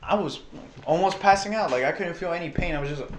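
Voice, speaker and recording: A young man telling stories in casual chat, animated and slangy, laughing as he talks. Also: sits high. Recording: echoey space, faint noise.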